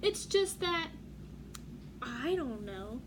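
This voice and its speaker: Woman as a high-pitched, mopey little boy spoon. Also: big pitch swings, very slow, full character voice. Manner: mopey, wistful complaining. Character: little boy spoon, feeling blue and envious. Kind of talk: children's picture book read-aloud. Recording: clearly noisy room background.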